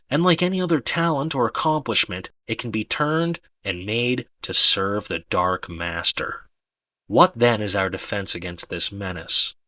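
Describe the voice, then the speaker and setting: Expressive, bright-voiced male audiobook narrator. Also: lowish voice, dry recording. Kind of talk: dramatic scripted narration.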